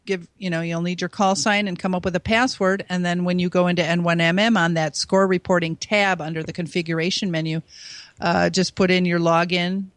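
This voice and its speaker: Woman guest on a call-in show, high voice, chatty, walks you through it step by step, dry close sound. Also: level tone, brisk, dry recording. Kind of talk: call-in radio talk.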